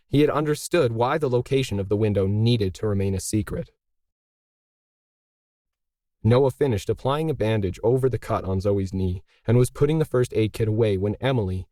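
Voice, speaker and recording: A man's voice: lowish voice, mellow, dry recording, clean studio sound. A man narrating a scripted science fiction story as an audiobook reader.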